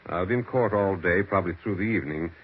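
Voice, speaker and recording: Low-voiced skeptical family lawyer. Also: male voice, quick pace, breathy edge. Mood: businesslike. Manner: businesslike, incredulous. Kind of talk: 1940s radio mystery acting. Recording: muffled, hissy 1940s radio recording.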